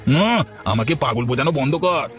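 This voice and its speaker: An exclamatory village farmer from a cartoon folk tale, acted by a man. He talks rapidly at an ordinary male pitch, with faint music behind him. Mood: arguing. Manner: expressive, exclamatory. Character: village men: practical farmer husband, frightened neighbours. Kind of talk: cartoon voice acting.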